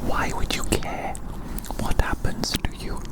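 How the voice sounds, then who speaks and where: An ASMR host whispering a playful roleplay monologue as a quirky, inquisitive scientist, softly repeating phrases. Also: takes it slowly. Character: quirky, inquisitive scientist examining a little creature.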